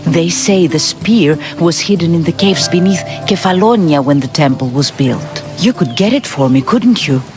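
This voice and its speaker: An actress playing an earnest, coaxing temple priestess of ancient Greece in a video game. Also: female voice, lowish voice, animated, normal speed, few pauses, music in the background, lightly acted. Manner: earnest, storytelling. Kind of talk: video game cutscene acting.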